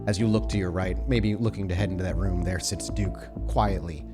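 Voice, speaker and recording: A man's voice: low-pitched, steady tone, a little fast, over music. A man acting as game master, narrating a tabletop roleplaying game.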